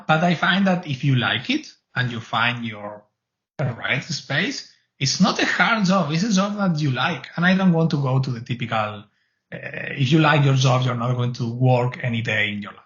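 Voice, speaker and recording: A man's voice: mid-range voice, a bit bright, lo-fi sound. A middle-aged man from Argentina with an Argentine Spanish accent, speaking English as a second language. He answers interview questions earnestly, with many restarts. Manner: earnest, rambling, frequent restarts. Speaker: guest, cloud advocate.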